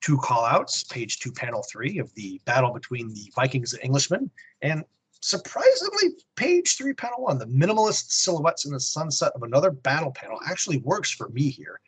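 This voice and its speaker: Husky male podcaster with very animated pitch. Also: fairly quick, low-quality recording. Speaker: podcast co-host. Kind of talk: prepared comic-book review commentary.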